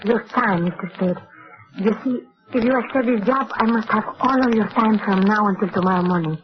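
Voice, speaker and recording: A woman's voice: medium pitch, a little fast, over music, natural voice. An actress playing an earnest, reserved young woman with a Mexican accent, speaking formally and carefully in an old radio drama. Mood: earnest, anxious. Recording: dull lo-fi old radio recording.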